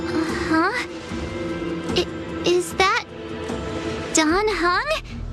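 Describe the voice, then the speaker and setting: A woman voicing a perky, girlish young adventurer in an anime-style video game, expressive and wide-eyed. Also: very high voice, very slow, heavily acted. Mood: astonished. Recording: loud music under the voice.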